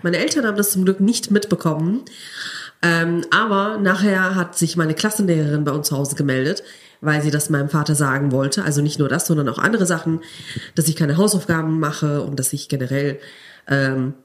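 Low-voiced young woman telling stories. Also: a little fast. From Cologne, Germany. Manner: storytelling, laughs while telling. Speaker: podcast guest, teacher. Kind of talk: casual podcast chat.

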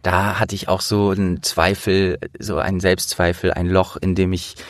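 A man's voice: deep voice, level tone, moderate pace, no room sound, clean studio sound. A young man from the Rhineland in Germany, an actor hosting a casual interview, explaining things at length in a searching way.

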